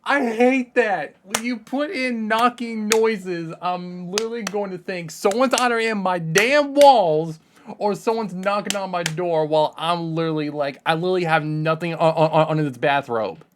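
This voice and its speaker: A man hosting a reaction video with casual, slangy commentary, moving between animated exclamations and muttering. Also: high voice, normal speed, background noise. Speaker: YouTube reaction host.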